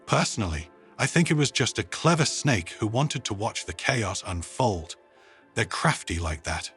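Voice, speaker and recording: A man's voice: on the low side, animated, normal speed, a bit bright, husky, soft background music, full character voice. A man voicing a mischievous, showy security officer in a storybook, with a playful, theatrical, conversational manner. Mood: wry. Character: mischievous, showy male human security officer presenting a snake.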